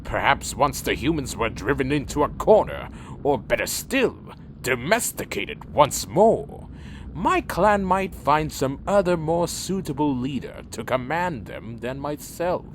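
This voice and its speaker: A man's voice: medium pitch, sing-song, measured pace, slight background noise. A man playing a weary, proud winged vampire clan leader in a fan audio drama, speaking formally and broodingly. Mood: weary, resigned.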